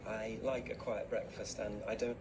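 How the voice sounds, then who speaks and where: A man's voice: fairly low pitch, little pitch movement, normal speed, a little mellow, lightly acted. A man playing a grudging young lawyer, distant. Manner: grudging, terse answers. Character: reluctant young male lawyer filmed at breakfast. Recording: distant, hissy course video.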